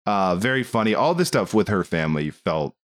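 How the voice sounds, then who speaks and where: A man talking casually as a podcast host, rambling along in an animated, amused way. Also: low voice, a little fast. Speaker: film discussion podcast host. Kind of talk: casual movie review chat.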